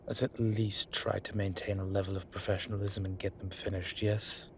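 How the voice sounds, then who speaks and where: A man voicing a flirtatious demon professor who keeps up a genteel, professional front, speaking softly and often in a whisper. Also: low voice, level tone, slight background noise. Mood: hushed. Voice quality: soft, often whispered, unhurried. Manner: teasing, measured, genteel. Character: flirtatious male demon professor, composed, teasing. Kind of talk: audio roleplay.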